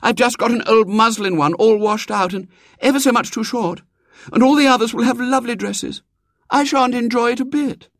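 A man voicing an imperious little girl in a storybook reading, high and commanding. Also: moderate pace, no echo, clean studio sound. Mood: plaintive.

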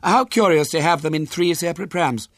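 A man's voice: a little high, a little fast, dry recording, studio quality, pitched above the performer's own voice. A man voicing a bossy, officious middle-aged woman who questions sharply and disapproves.

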